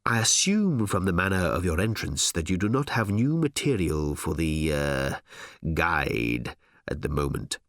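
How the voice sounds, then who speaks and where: A man voicing a smooth, cold, pompous editor-in-chief in an audiobook, speaking in a deliberate, condescending manner. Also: sits low, animated, fairly bright tone, no echo, clean studio sound, voice pushed down, exaggerated voice. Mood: cool, condescending.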